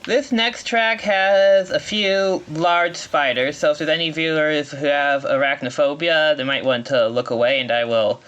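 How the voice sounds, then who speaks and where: A man's voice: a little high, steady tone, measured pace, hardly any pauses, fairly bright tone, smooth, lo-fi sound. A man giving live commentary while he plays through a racing game speedrun, explaining as he goes, correcting himself and reacting to his mistakes.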